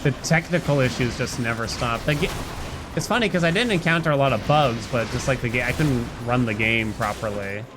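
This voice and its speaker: A man's voice: medium pitch, brisk. A man chatting casually with viewers as he livestreams a game, rambling and playful, breaking into nonsense sounds. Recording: loud game music behind voice. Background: video game music.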